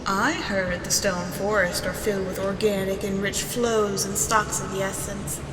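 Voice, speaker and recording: Actress as sly teasing female schemer, mid-pitched, long echoing reverb, loud ambient rumble. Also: little pitch movement, normal speed, close to an everyday voice. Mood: scheming. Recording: long echo, very noisy ambience.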